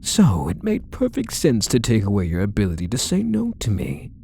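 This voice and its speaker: A possessive yandere husband, acted by a man, murmurs in an intimate ASMR roleplay with doting, quietly menacing condescension. His voice is mellow and creaky, with pitch that rises and dips widely. He coaxes softly and lets his endearments linger. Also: huge pitch swings, moderate pace, a little hiss or hum, exaggerated voice. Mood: doting, smug. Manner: soft, coaxing, condescending endearments, lingering phrases.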